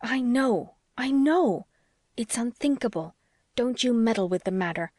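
A woman's voice: a little high, expressive, measured pace, a little mellow, no echo, studio quality, voice pushed up. A woman voicing a passionate, protective young wife who argues in an emphatic, pleading way, as in an audiobook. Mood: indignant protest. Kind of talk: audiobook character dialogue.

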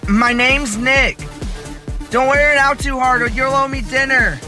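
Man acting a high, sassy young guy over music. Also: fairly even intonation, measured pace. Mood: cheeky. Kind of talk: amateur audio drama acting. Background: arcade music.

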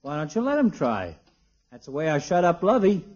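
An actor playing a talkative, cheeky small-town barber in a 1950s radio comedy, slangy and folksy. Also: male voice, a little high, big pitch swings, normal speed, a little mellow. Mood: sly, teasing. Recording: dull, muffled old radio recording.

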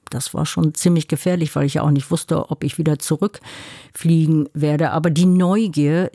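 A woman's voice: deep voice, warm tone, no room sound, studio quality. Older German woman, firm and matter-of-fact. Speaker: guest activist. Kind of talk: interview conversation.

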